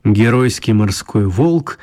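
A man narrating a children's radio story in an expressive, mock-grand dramatic style. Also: fairly low pitch, takes it slowly. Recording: clear background noise and ambience.

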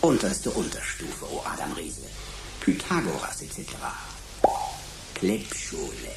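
A man's voice: low voice, very animated, a little slow, gravelly, noisy background, exaggerated voice. A man playing a mocking computer sidekick in a radio play, with pompous, ornate, old-fashioned diction.

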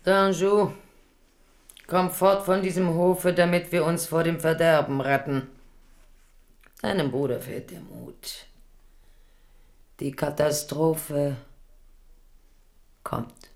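A woman's voice: sits low, steady tone, slow pace, pauses often, a little mellow. An actress playing a scheming, domineering older queen mother in a radio play, imperious and urgent. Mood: urgent, pressing. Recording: 1970s studio radio drama.

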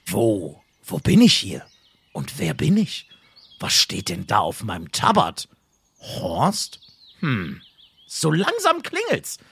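An actor playing a young gamer who becomes a dim but strong warrior in an amateur comedy audio drama. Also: male voice, fairly low pitch, huge pitch swings, takes it slowly, vocal fry, a bit bright, slightly rough, heavily acted. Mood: puzzled.

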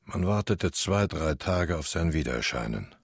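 A stern village chronicler in a radio play. The voice is very low and rough-edged, close and dry, telling its literary account over faint music. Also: male voice, animated, moderate pace, no room sound. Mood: grave, sober. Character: stern male villager chronicling life under occupation. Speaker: second narrator of a radio drama. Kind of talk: literary scripted narration.

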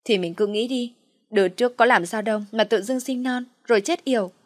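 A woman's voice: on the high side, fairly quick, no echo, natural voice. A woman voicing a scheming pregnant wife who scolds or coaxes with calculation in an audio story. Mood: coaxing, sly.

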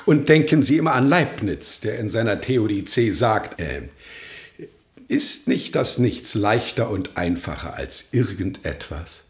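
Pompous, eccentric philosopher, echoey. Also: male voice, fairly low pitch, lively intonation, a little slow. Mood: lecturing. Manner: pompous, professorial, aphoristic. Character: eccentric, pompous male philosopher who renounced possessions. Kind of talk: radio drama acting. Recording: roomy, echoing sound.

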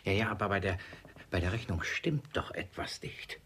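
An actor playing a vain, gullible, miserly old suitor in a German radio play, husky-voiced, hesitant and fussy. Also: male voice, low voice, lively intonation, normal speed, fairly bright tone, heavily acted. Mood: indignant. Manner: hesitant, fussy, muttering repeated words.

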